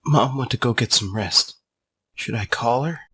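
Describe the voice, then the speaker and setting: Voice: medium pitch, moderate pace, bright, forceful tone, breathy edge. An actor playing a moody, guilt-ridden teenage monster prince in an amateur comic dub, hesitant and brooding. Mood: guilty, subdued.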